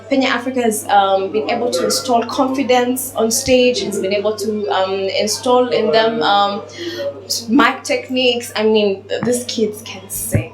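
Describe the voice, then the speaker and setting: A woman working as a TV reporter, interviewing a guest and reporting from an event in an upbeat, halting way with fillers. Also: fairly high pitch. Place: concert venue. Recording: echoey venue with loud music.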